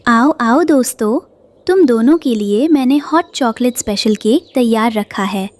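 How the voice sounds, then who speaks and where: A woman's voice: on the high side, measured pace, lightly acted. A woman voicing a cheerful, motherly bird who runs a bakery. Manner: warm, motherly, welcoming.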